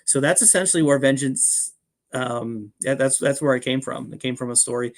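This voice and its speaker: A man's voice: medium pitch, normal speed. A man talking casually as a podcast guest and audio drama producer, modest, informal and appreciative. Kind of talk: casual interview chat.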